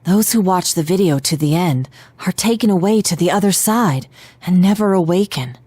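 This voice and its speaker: A woman's voice: fairly low pitch, animated, moderate pace, a little mellow, slightly rough, no echo. A woman playing a composed, analytical teenage detective in a video game, speaking politely with precise, formal diction. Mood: thoughtful, serious.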